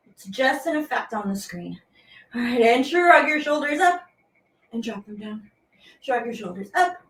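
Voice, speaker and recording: A woman's voice: high-pitched, a bit bright, low-quality recording. A woman hosting a children's lesson as a teaching artist, speaking playfully and animatedly to viewers at home in simple, repeated phrases.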